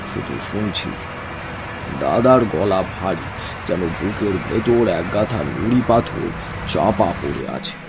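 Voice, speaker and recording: An actor playing a brooding male narrator, recounting an eerie tale slowly and with hushed suspense in a horror audio drama. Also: on the low side, creaky, exaggerated voice, rough recording. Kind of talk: dramatic first-person story narration.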